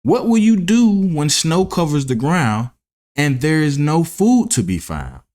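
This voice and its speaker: A man's voice: on the high side, big pitch swings, a little slow, darkish tone, pitched above the performer's own voice. A man voicing a hardworking, sensible ant in a children's storybook, earnest and admonishing. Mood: earnest warning. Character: hardworking, sensible, stern male ant who lectures. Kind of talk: children's storybook read-aloud.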